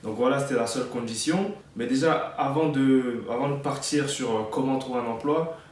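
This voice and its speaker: A man's voice: mid-range voice, moderate pace, darkish tone, heavy reverb, recorded from a distance, a little hiss or hum. A man in his late twenties from France, giving casual advice in a chatty vlog, with many fillers and restarts. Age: 20s. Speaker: vlogger.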